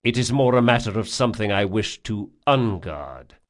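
A man playing a polite, formal and guarded gentleman client in a fantasy audiobook. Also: fairly low pitch, lively intonation, normal speed, natural voice. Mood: careful, sly. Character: courteous, smooth-talking male client, formal and guarded.

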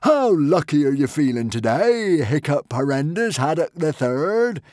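A crazed Viking chieftain from a storybook, roaring high and loud with wild glee. His voice sits far above the reader's own, stretched into slow, gloating shouts. The sound is close and dry. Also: sing-song, no echo. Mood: manic glee. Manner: gleeful, roaring, drawn-out shouts. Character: manic bloodthirsty male Viking chieftain.

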